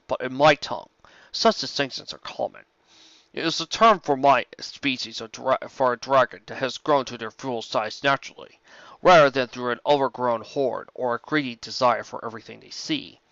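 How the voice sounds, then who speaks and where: Ancient dragon played by a man: huge, courteous, deliberate, mid-pitched storybook character, slightly muffled sound. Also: creaky, fairly bright tone, dry recording. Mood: calm, explaining. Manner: formal, measured, deliberate.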